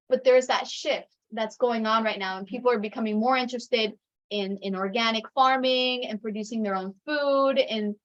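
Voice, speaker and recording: A woman from Ecuador whose first language is Spanish, talking casually about her community with lots of fillers and restarts. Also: a little high. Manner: rambling, many fillers and restarts. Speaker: community resident. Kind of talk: casual chat on a video call. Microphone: video call. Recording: dull lo-fi call audio.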